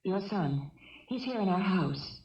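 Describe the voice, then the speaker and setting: An actress playing a gentle, composed middle-aged housewife in a 1970s radio drama, speaking carefully, politely and soothingly. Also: female voice, lowish voice, expressive, unhurried, distant mic. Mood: polite, cautious. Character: gentle, composed housewife and mother held hostage. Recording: roomy, muffled old radio broadcast.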